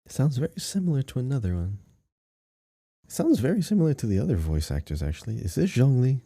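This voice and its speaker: A man's voice: mid-range voice, big pitch swings, fast, gravelly, dark tone, husky, no echo, clean studio sound. A man co-hosting a podcast, chatting casually as he thinks through a guessing game. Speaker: podcast co-host.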